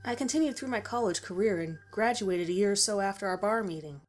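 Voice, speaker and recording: A woman's voice: medium pitch, fast. A woman reading a first-person horror story as a scripted narration. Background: music.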